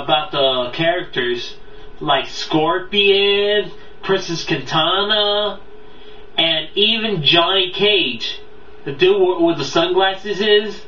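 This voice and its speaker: A man hosting a casual countdown review, talking off the cuff in a rambling, halting way that often loses its thread. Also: fairly high pitch. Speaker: video countdown host and reviewer. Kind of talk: casual unscripted review talk to viewers. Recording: roomy, distant, dull mic, faint noise.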